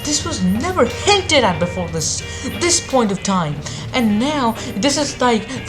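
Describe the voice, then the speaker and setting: A woman's voice: on the high side, low-quality recording. Exasperated woman ranting, music behind. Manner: exasperated, halting, heavily swearing. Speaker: anime reviewer. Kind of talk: unscripted opinionated rant.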